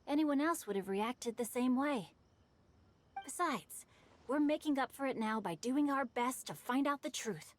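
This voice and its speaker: A woman's voice: high voice, expressive, measured pace. A woman playing a refined, bookish teenage boy in an anime game, composed and articulate with a literary manner. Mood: reassuring.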